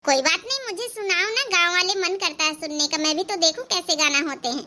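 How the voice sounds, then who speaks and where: Woman as a squeaky, cheeky young daughter-in-law. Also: fast talker, pushed and bright. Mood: playful. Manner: playful, mockingly polite. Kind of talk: cartoon comedy dialogue.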